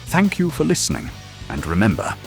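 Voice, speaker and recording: A man narrates an audio drama in a deep voice with a very animated melody. The delivery is scripted and dramatic, with faint music behind. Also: fairly quick, creaky.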